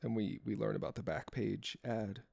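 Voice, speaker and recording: A man's voice: fairly low pitch, flat delivery, normal speed, creaky, darkish tone, dry recording. A man casually talking through a film's scenes with a co-host, describing shots and framing in detail. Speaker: podcast co-host. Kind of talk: casual film discussion.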